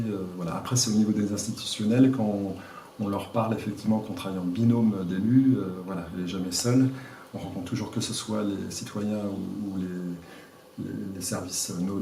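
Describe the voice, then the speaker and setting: A man answering interview questions as a village mayor, speaking informally and haltingly as he searches for words. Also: on the low side, steady tone, mellow. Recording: echoey room, distant mic, faint noise.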